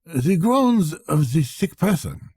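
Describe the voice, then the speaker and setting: A man voicing a fastidious, prim male accountant in a storybook reading, speaking precisely, formally and modestly. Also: on the high side, very animated, a little mellow, breathy edge, dry recording, studio quality, voice pushed up, heavily acted. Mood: gentle annoyance. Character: fastidious, prim male colonial chief accountant, mild and faintly annoyed. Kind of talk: storybook character voice in audiobook reading.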